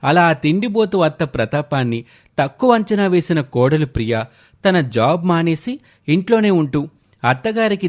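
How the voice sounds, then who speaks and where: A man narrating a scripted moral story as its storyteller. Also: a little high.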